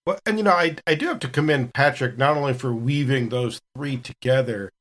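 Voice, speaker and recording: A man's voice: medium pitch, a little fast, a bit bright. A middle-aged man, a veteran gamer on a podcast, chatting casually in a folksy, rambling way. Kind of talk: casual group chat.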